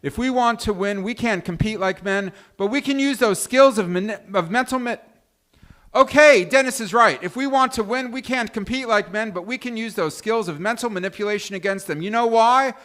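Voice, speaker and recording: A man's voice: sits high, fairly quick, fairly bright tone. A man playing a bossy, competitive, short-tempered schemer in a live comedy table read, speaking emphatically. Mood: scheming.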